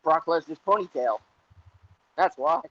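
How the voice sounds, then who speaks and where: A man chatting casually on a wrestling podcast with a gravelly, somewhat husky voice. He jokes in a dry way and restarts his sentences. The audio is dull and lo-fi. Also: on the high side, very animated, unhurried. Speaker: podcast co-host.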